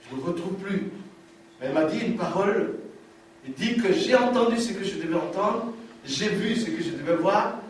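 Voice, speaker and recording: A high-pitched man preaches his testimony in an emphatic way and hammers home lines by repeating them. He is caught from a distance in a very echoey hall, with a faint room hum behind him. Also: expressive, normal speed. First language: African language. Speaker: testimony speaker.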